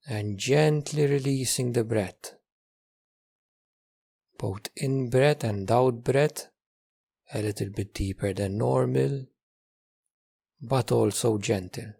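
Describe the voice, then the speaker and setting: Slow, gravelly man guiding meditation. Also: medium pitch. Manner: calm, measured, repeats phrases with long pauses. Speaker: meditation teacher leading a guided session. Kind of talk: guided meditation, slow gentle instruction.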